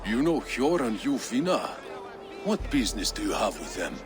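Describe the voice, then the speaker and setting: An actor playing an officious, greedy dockmaster in a video game, sarcastic and dismissive. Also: male voice, medium pitch, sing-song, normal speed, lightly acted. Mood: shifty. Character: officious, sneering, greedy male harbour dockmaster. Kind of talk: dramatic video game acting.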